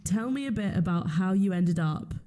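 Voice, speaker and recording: A woman's voice: fairly low pitch, expressive, normal speed, mellow. A woman hosting a conversational interview, putting questions to her guest in an informal way. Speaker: podcast interviewer.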